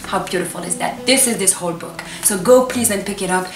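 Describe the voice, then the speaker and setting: A woman in her twenties, a native Arabic speaker, casually recommending books to camera in an expressive, gushing, emphatic manner. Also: mid-range voice, fairly quick. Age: 20s. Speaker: book reviewer and vlogger. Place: small furnished room with books, indoors. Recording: echoey room, distant camera microphone.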